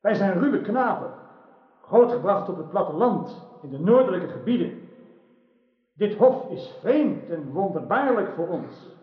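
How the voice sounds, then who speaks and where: A woman's voice: medium pitch, sing-song, a little slow. A woman playing a humble, frank young country lad at a royal court in a radio drama, earnest and formal. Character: young rustic knight, male, humble and frank. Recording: dull, roomy radio drama recording.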